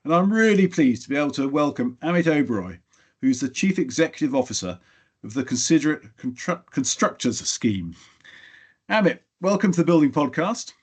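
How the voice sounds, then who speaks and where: A man's voice: fairly high pitch, big pitch swings, brisk, slightly rough. Middle-aged man hosting a podcast. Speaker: podcast host. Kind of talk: interview hosting.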